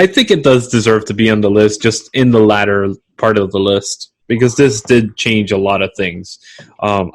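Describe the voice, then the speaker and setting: A man co-hosting a podcast, talking casually with blunt, crude opinions. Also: fairly low pitch. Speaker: podcast co-host. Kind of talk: casual chat.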